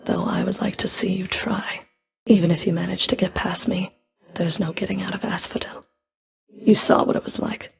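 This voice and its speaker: An actress voicing a stern, scornful female underworld enforcer in a video game, with a cold, deliberate, menacing manner. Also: lowish voice, creaky, darkish tone. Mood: taunting. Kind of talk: video game character voice lines.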